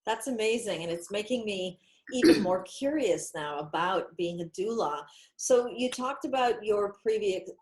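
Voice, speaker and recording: A woman's voice: mid-range voice, animated, moderate pace, a little mellow. A woman hosting an online career interview, welcoming guests with upbeat, partly scripted introductions. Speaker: interview host. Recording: echoey room.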